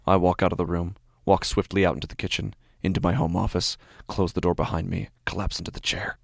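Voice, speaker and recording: A man playing an anguished, guilt-ridden father in a halting, brooding horror monologue. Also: low-pitched, flat delivery, vocal fry, no echo, clean studio sound. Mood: uneasy, brooding. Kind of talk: first-person horror drama monologue. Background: prominent music.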